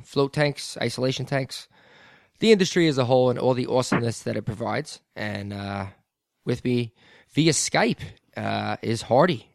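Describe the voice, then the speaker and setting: A man's voice: medium pitch, gravelly, no echo, studio quality. A man hosting a podcast in casual chat, laid-back and a bit mumbly, laughing often. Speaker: podcast host.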